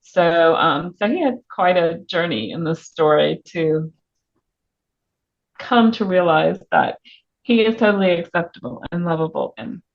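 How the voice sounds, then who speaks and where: Muffled, lower-pitched woman in casual interview. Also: measured pace, creaky. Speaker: author guest on a book podcast. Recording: muffled, band-limited audio.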